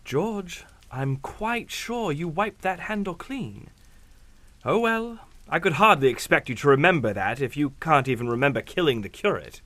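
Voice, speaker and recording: A man's voice: fairly high pitch, lively intonation, moderate pace, bright, forceful tone, no room sound. An actor playing a sly, unflappable captain in a radio drama, suave and mocking, drawing out his insinuations. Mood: smugly needling. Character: sly, unflappable English captain, needling blackmailer.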